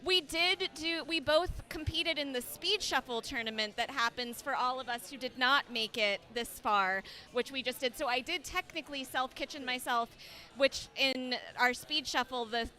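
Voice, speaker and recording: An upbeat woman chats as co-commentator on a tournament livestream. Her voice is fairly high and strikingly bright, and she keeps the talk lively and friendly. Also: moderate pace. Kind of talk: casual sports commentary.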